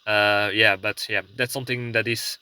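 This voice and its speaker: A man's voice: fairly low pitch, level tone, moderate pace, bright, forceful tone, smooth, dry recording. A man with a Belgian accent talks casually in a rambling vlog style, hesitating and searching for words. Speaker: prepper video blogger. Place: inside a parked car.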